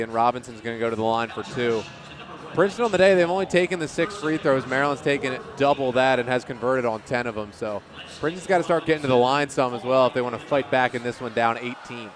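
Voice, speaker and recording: A man giving casual, conversational color commentary on a live basketball game, explaining each play. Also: medium pitch. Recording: faint basketball arena noise.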